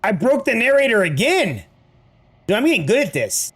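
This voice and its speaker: A man commenting live on a game he is playing, talking quickly and excitably and often laughing. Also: high-pitched, breathy edge.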